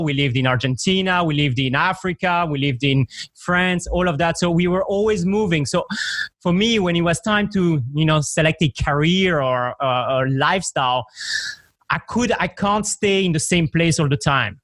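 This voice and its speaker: Belgian-accented man, rambling and relaxed. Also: on the high side, dry recording. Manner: relaxed, rambling with many fillers and restarts. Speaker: software founder guest. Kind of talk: casual podcast interview.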